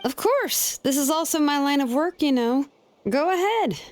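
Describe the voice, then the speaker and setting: A woman's voice: sits high, lively intonation, a little hiss or hum. Young craftswoman, easygoing, self-assured, matter-of-fact, widely varied intonation, video game character. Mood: amused, casual. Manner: casual, unhurried hedging, matter-of-fact. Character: laid-back, confident young female craftswoman, matter-of-fact expert.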